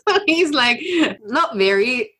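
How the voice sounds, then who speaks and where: A woman's voice: fairly high pitch, lively intonation, slow pace, pushed and bright. Chatty woman from Austria, laughing often. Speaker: podcast co-host. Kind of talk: casual news chat.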